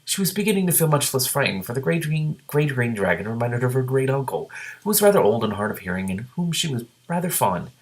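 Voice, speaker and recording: A man reading a book aloud and breaking in with excitable, sometimes profane reactions. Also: medium pitch, fast talker. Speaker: reader and commentator.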